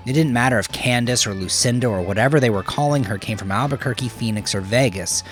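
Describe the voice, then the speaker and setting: A man's voice: mid-range voice, quick pace. A man reading a crime story as a dramatic, scripted audiobook narration.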